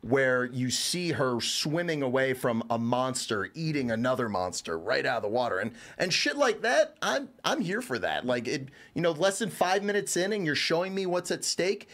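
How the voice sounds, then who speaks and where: A man's voice: mid-range voice, sing-song, normal speed, hardly any pauses, a bit bright. A man giving a casual, conversational review as a show's reviewer, rambling informally. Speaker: reviewer on a show.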